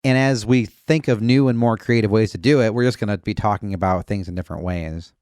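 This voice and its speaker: A man in his thirties with a bassy voice, co-hosting a local music podcast. He talks in a loose, excited way and often repeats his words. The sound is close and dry, with no room around it. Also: a little fast, clear, resonant tone, dry recording. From West Michigan. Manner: enthusiastic, chatty, repeats words. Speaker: podcast co-host. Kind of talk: casual chat. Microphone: podcast studio mic.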